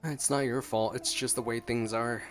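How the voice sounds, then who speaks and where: A man's voice: medium pitch, fairly even intonation, moderate pace, a bit bright, soft background music. A man doing gameplay reaction as a streamer, commenting and reading dialogue aloud. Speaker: gaming streamer.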